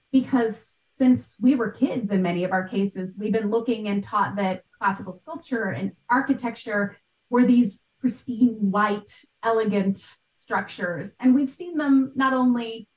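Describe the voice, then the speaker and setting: A woman's voice: on the high side, a little slow, darkish tone. An American woman, around her thirties, explaining things conversationally as a podcast guest, with emphasis on key words. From United States. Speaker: podcast guest, art history expert. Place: small bright room at home. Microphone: video call. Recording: roomy video-call sound.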